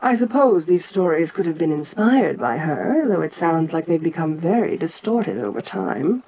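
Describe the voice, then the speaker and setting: A woman's voice: on the low side, big pitch swings, moderate pace, mellow, a little hiss or hum, poor audio. A woman playing an ancient giant kaiju queen in an audio roleplay, proud and curious, with a domineering, stately manner. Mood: curious, commanding. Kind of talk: dramatic audio roleplay addressed to the listener.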